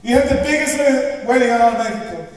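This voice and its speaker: A young male actor plays a bitter young avenger in a theatre drama, throwing out scornful, declamatory lines. The voice is extremely high, distant and washed in strong echo. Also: expressive, quick pace. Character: bitter, vengeful young brother of a dead woman. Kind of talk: stage play acting. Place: large indoor theatre stage, far camera. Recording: echoey theatre stage from far off.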